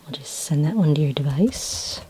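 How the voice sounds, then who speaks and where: A woman speaking in a soft, breathy whisper as a friendly, patient librarian in a gentle ASMR roleplay. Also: low voice, expressive, a little hiss or hum. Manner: gentle, unhurried, helpful customer-service patter. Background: computer keyboard typing.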